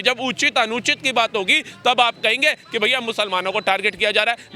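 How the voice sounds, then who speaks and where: A man's voice: very high voice, pushed and bright. A man arguing combatively and emphatically in a street interview, firing rhetorical questions. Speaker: interviewee giving political opinions. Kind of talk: impassioned street interview argument.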